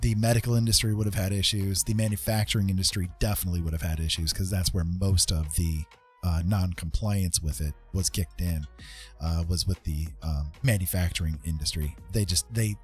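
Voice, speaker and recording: An American man hosting a livestream, chatting casually and explaining things in an informal, halting, rambling way. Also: low voice, steady tone, faint music behind. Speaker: livestream host chatting with viewers.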